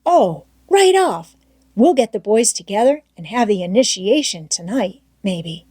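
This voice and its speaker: A woman voicing an excitable, scheming boy gang leader in a storybook, emphatic and persuasive. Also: medium pitch, measured pace, breathy edge, no room sound. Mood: excited. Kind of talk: storybook character voice in audiobook reading.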